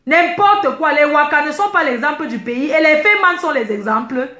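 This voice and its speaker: Woman, high voice, indignant emphatic rant, echoey room. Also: lively intonation, normal speed, pushed and bright. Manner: indignant, emphatic, repeats herself. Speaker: online video commentator. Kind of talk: heated personal rant to viewers.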